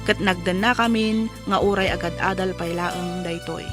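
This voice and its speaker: An actress playing a young wife who narrates her own life story in a radio drama, measured and earnest. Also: on the low side, steady tone, close to an everyday voice. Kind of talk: scripted first-person radio drama narration. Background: music under the voice.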